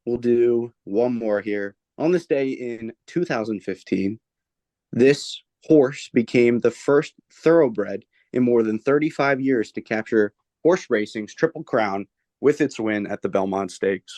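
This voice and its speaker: A man's voice: mid-range voice, a little slow, a little mellow, no room sound. A young man co-hosting a casual sports podcast, laid-back, with dry quips. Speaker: podcast co-host.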